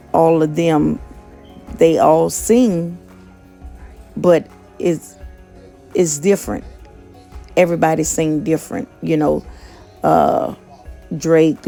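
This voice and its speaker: Slow, gravelly, monotone woman. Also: low-pitched. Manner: monotone, self-described; conversational, many fillers and restarts. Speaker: motivational vlogger and gig worker. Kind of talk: casual motivational talk.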